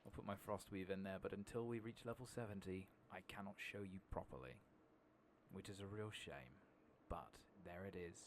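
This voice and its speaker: A man doing soft-spoken, sometimes whispered ASMR game commentary, muttering casually to himself at a close microphone. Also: low-pitched, normal speed, a little hiss or hum. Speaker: host of a gaming ASMR playthrough. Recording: keyboard typing and mouse clicks behind.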